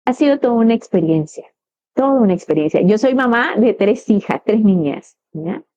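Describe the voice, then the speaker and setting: A middle-aged woman co-hosting a reflective conversation, speaking calmly and thoughtfully. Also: medium pitch, moderate pace. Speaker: podcast co-host. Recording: dry, slightly dull audio.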